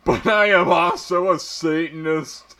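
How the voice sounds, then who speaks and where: A man voicing a defiant, dramatic satanist male student with emphatic pauses in a comic story reading. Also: on the high side, pushed and bright, pitched above the performer's own voice.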